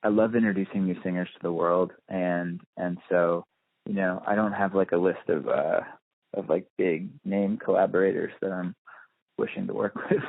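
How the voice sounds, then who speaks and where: A man's voice: low voice, steady tone, moderate pace, creaky, no echo. A man giving a casual phone interview as a musician, speaking thoughtfully and hesitantly, often circling back to rephrase. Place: on a phone call. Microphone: telephone. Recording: muffled, dry phone-line audio.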